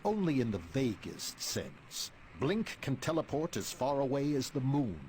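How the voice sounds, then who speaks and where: A man voicing a composed, commanding mutant leader in a video game cutscene, with formal, deliberate diction. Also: medium pitch, big pitch swings, darkish tone, slight background noise. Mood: grave, measured. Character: composed, commanding male mutant leader briefing his team.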